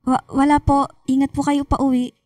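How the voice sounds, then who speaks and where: A woman plays a shy teenage schoolgirl with a high, soft-toned voice and a Filipino accent. She speaks politely and respectfully in a dry-sounding radio drama. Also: little pitch movement, brisk, no room sound, natural voice. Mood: timid, respectful.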